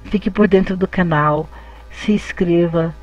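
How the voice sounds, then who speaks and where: A man's voice: high-pitched, measured pace, darkish tone, lo-fi sound. A man, hosting his channel and narrating an event video as a voice-over. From Brazil. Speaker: channel host. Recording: faint music behind the voice.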